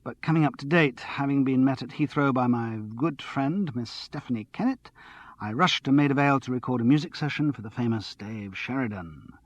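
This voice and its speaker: A man reading an audiobook, voicing an excitable, slightly tipsy young woman who chatters on without pause. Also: medium pitch, no echo, lo-fi sound, natural voice. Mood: giddy. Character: giddy, tipsy young woman studio manager.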